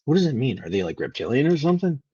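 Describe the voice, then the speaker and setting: A husky man with a fairly low voice hosts a casual livestream. He rambles without a script, halting and letting thoughts trail away. The sound is close, dry and slightly dull. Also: very animated, dark tone, dry recording. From Venezuela. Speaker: live stream host. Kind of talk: casual unscripted livestream monologue.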